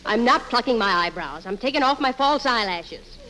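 Woman acting a high-pitched nagging radio wife. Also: lively intonation, normal speed, lightly acted. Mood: defensive, peeved. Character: chatty, nagging wife, blithely self-absorbed and penny-minded. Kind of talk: 1940s radio comedy acting. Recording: noisy old radio recording, studio audience.

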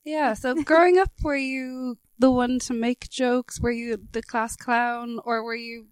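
Fairly high-voiced woman interviewer. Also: fairly even intonation, measured pace, clean tone, no echo. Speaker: interviewer, host. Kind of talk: casual chatty interview.

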